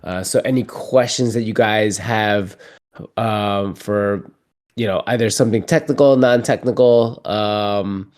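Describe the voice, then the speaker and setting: A man's voice: fairly low pitch, unhurried. A man hosting a community call in a casual, loose way, with lots of fillers and restarts. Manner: relaxed, many fillers and restarts. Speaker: community host.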